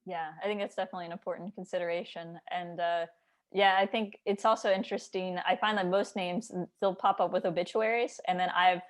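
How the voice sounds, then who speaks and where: A woman's voice: on the low side, steady tone, brisk. A woman chatting casually and informally, often acting out little bits of quoted dialogue as she goes. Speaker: book editor. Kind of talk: casual chat.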